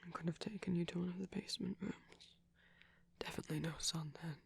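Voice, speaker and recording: A very breathy, whispering vampire boyfriend, played by a man, gentle and caring. The voice is husky with a creaky edge, soothing and a little self-conscious, in an intimate ASMR roleplay. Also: high-pitched, fairly even intonation, fast, full character voice. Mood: soft, reassuring.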